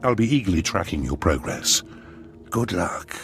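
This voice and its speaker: A male actor playing a scheming Imperial adviser in a video game, giving a deliberate, theatrical briefing. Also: low-pitched, very animated, vocal fry, full character voice. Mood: relishing. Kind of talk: video game dramatic acting.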